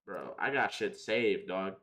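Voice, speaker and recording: A man's voice: lowish voice, unhurried, fairly bright tone. A young man chatting casually on a livestream, answering viewers in slangy, profane, opinionated talk. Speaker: live streamer.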